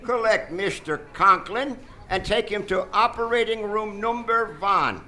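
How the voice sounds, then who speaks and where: Suave, high-voiced sinister doctor, played by a man. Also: a little slow, pushed and bright, full character voice. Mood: imperious. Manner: formal, suave, imperious. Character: sinister, smooth-mannered male doctor, commanding master of servant. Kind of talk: live radio drama acting. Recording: live theater stage performance.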